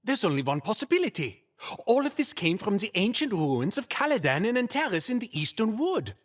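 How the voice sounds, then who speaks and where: A woman voicing an eager, eccentric scholar of ancient relics in a fantasy video game, with a halting, conspiratorial manner. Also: medium pitch, big pitch swings. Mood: excited, conspiratorial.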